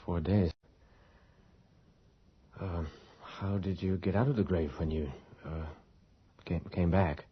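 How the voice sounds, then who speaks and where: An actor playing an earnest, persistent investigator in a radio horror drama, educated and polite, with hesitant, articulate questioning. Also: male voice, low voice, steady tone, slow, long pauses, husky, full character voice. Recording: dull lo-fi radio recording.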